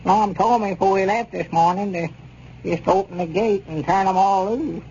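A man playing a folksy, excitable country storekeeper with a rural Southern accent in a 1930s radio comedy serial. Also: high voice, moderate pace. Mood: exasperated. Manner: rustic, drawling folksy talk. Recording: dull, noisy old 1930s radio recording.

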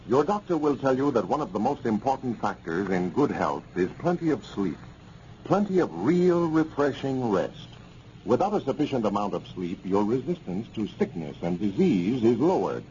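A man's voice: mid-range voice, mellow. A man with an American accent delivering scripted narration as a 1930s radio announcer. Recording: muffled, noisy 1930s radio recording.

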